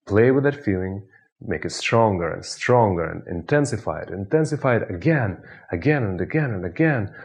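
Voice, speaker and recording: A man with a Russian accent, a hypnotist guiding the listener through an anchoring exercise with slow, soothing, repetitive suggestions. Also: on the low side, darkish tone. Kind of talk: guided hypnosis instruction.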